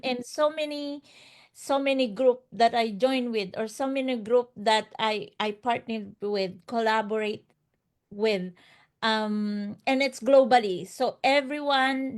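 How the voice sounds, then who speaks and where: A woman with a fairly high voice speaks as a guest promoting an online business. English is not her first language, and she talks off the cuff in an earnest, motivational way, circling back over phrases for emphasis. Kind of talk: unscripted motivational testimonial.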